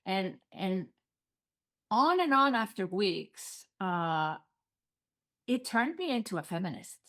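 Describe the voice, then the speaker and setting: A woman's voice: medium pitch, big pitch swings, slow pace, fairly bright tone. A middle-aged woman with an Icelandic accent, a guest telling her story in an earnest way on a podcast interview. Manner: earnest, storytelling, occasional non-native phrasing.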